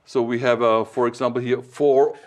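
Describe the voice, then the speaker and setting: A man giving an informal technical explanation as an expert, in halting, piecemeal phrases. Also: mid-range voice, steady tone, darkish tone, slight background noise.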